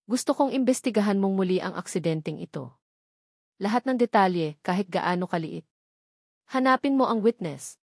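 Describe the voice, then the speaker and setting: A woman's voice: medium pitch, expressive, normal speed, vocal fry, dry recording, studio quality. A Filipino woman voicing a serious wealthy man haunted by suspicion, controlled and insistent, in a narrated story. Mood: grave, commanding. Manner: controlled, grave, pleading.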